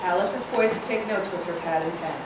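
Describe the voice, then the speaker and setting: A woman's voice: fairly low pitch, fast. A woman reading stage directions aloud as scripted narration at a screenplay reading. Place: indoor reading hall, recorded from far off. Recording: echoey room, distant mic, clear noise.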